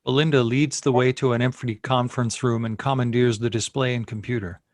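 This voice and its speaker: A man's voice: medium pitch, fairly even intonation, a little fast, no echo, studio quality. A man reading out scene headings and stage directions as narrator of a screenplay.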